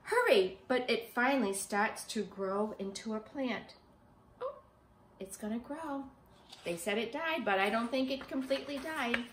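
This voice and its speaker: Middle aged lady reading a kids picture book, highish voice, expressive teacher style, echoey room at home. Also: unhurried, slight background noise. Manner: expressive, emphatic, child-directed delivery. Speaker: preschool teacher reading aloud. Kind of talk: picture-book read-aloud for young children with simple questions. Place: small living room, indoors on a sofa. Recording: roomy home recording.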